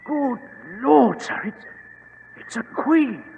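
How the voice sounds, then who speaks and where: A jittery, servile British assistant, in 1940s radio drama. His high voice creaks as he hesitates, over clear crackle and noise. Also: expressive, slow pace, muffled recording, full character voice. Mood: astonished. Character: nervous, deferential British male expedition assistant. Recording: noisy, crackling old radio recording.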